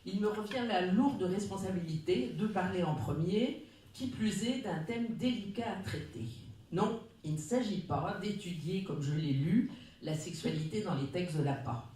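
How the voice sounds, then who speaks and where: Round-table speaker, measured formal reading, far from the mic, booming room. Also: a little mellow. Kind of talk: reading a prepared talk. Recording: very echoey room, distant mic.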